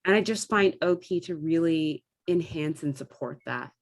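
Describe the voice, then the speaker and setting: A woman's voice: deep voice, moderate pace. A woman talking casually and enthusiastically, like a theatre director thinking aloud about performance. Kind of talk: casual discussion of theatre craft.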